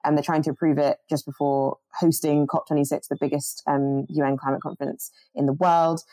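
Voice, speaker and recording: A young woman with a low, mellow voice talks earnestly about her organizing over a video call. She restarts her sentences often as her thoughts run ahead, and the sound is dry and close. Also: little pitch movement, brisk, dry recording, rough recording. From born in Jamaica, grew up in the UK. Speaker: climate organizer guest.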